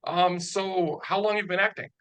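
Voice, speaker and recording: A man's voice: high voice, fairly even intonation, normal speed, a bit bright, smooth, low-quality recording. An American man from northern Wisconsin, hosting and interviewing in a casual conversational style. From northern Wisconsin, United States. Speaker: interviewer and channel host. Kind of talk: casual spoken introduction and interview chat.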